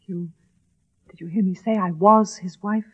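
An actress playing a devoted, passionate runaway wife in a radio drama, with refined delivery. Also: female voice, medium pitch, steady tone, moderate pace, breathy edge, lightly acted. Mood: tender, earnest. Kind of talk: early radio drama acting. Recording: tinny, band-limited old radio recording.

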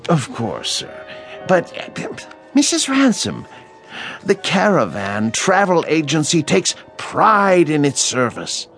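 A prissy travel clerk in a radio drama. His fussy, obsequious politeness comes very slowly, with wildly swooping pitch over faint music. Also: huge pitch swings, fairly bright tone, exaggerated voice. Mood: pleading, condescending.

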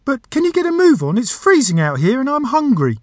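A man's voice: very high-pitched, wildly swooping pitch, a little fast, darkish tone, dry recording, studio quality, voice pushed up, exaggerated voice. A man voicing a tiny, bored, impatient male genie in a storybook, with a snappy, whining manner.

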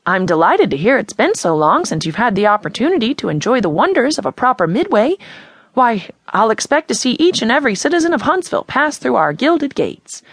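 Lady putting on a carnival barker guy, fake midwestern drawl, big salesy pitch, gravelly and raised up. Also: very animated, fairly quick, no echo, studio quality. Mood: folksy, persuasive. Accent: put-on Midwestern. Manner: folksy, salesman-like patter. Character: smooth-talking male carnival barker, middle-aged.

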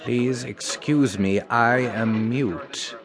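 An actor playing an elderly British police inspector in a radio drama, courteous, formal and grave. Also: male voice, mid-range voice. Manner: polite, formal, measured.

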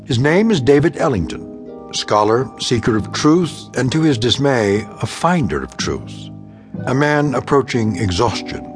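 A man acting as the narrator of an eerie radio drama, solemn, measured and portentous. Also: medium pitch, lightly acted. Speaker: host narrator of radio anthology.

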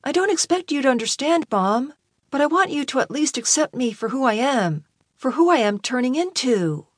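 A woman's voice: high voice, sing-song, moderate pace, breathy edge, no room sound, studio quality, voice pushed up, heavily acted. A woman voicing an anguished, emotional young man pleading with and defying parents in an audiobook story. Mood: tearful pleading.

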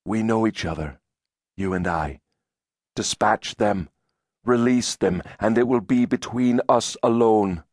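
A man voicing a weary, gentle and steadfast man accused of witchcraft, speaking calmly and gravely. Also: lowish voice, a little slow, no echo, studio quality. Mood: calm, resolute.

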